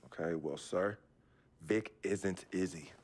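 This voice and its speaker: Loyal young athlete, lowish voice, protesting. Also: male voice, slow pace, a little mellow. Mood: defensive. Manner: defensive, protesting. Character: young male basketball player, loyal and defensive. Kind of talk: video game cutscene acting.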